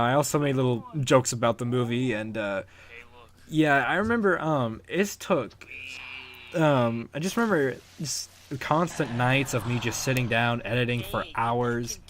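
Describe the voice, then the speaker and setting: A man giving casual, unscripted commentary as the creator of a fan project, rambling and halting as he talks. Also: medium pitch, slight background noise. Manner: rambling, halting, chuckling now and then.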